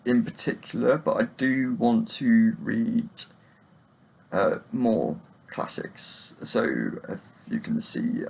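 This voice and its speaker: Man, lowish pitch, strong vocal fry, casual book vlogger, unscripted, hesitant, meandering delivery. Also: poor audio. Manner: hesitant, halting, rambling.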